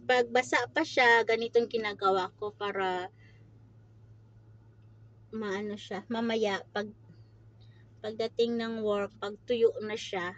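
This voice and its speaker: A woman in her forties with a Filipino accent and Tagalog as her first language, chatting casually to viewers in a rambling, giggly, self-questioning way. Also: medium pitch. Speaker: vlogger. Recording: muffled, loud vehicle and road noise.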